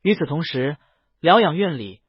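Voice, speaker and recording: A man's voice: fairly high pitch, animated, normal speed, breathy edge, no room sound, muffled recording. A man reads a scripted story as an audiobook narrator, voicing the dialogue himself.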